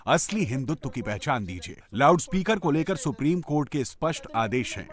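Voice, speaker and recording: A man's voice: medium pitch, sing-song, fairly quick. A man delivering political statements in an emphatic, declamatory way, as a news voice-over.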